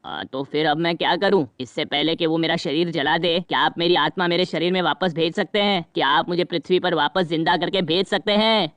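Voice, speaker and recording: A man's voice: fairly high pitch, a little fast, hardly any pauses, a bit bright, clean tone, no echo, close to an everyday voice. Man playing an anxious, pleading cartoon dead husband. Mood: anxious, puzzled. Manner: nervous, wheedling. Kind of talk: cartoon voice acting.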